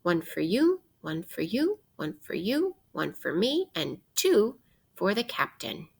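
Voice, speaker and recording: A woman teacher reading a picture book aloud to children in a deliberate, instructive way, posing questions as she goes. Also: mid-range voice, very animated, gravelly, slightly rough.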